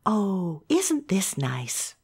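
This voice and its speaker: A woman playing a kindly, hospitable country mother in an amateur audio drama, gentle and placating, with a husky voice. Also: medium pitch, wildly swooping pitch, drawn-out words, exaggerated voice. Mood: cheerfully hospitable.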